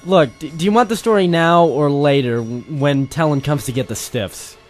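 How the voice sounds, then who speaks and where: A man's voice: on the high side, normal speed, lightly acted. A man playing a weary, wry private detective, narrating and talking tersely in a noir radio drama. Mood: wry, matter-of-fact. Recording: music playing behind the voice.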